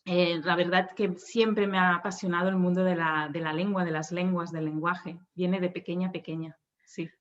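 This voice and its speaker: A woman's voice: fairly low pitch, flat delivery, normal speed, highs cut off. A woman from Barcelona, a guest on a podcast interview, talking thoughtfully and restarting phrases as she goes. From Barcelona, Spain. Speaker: guest speech therapist and linguist.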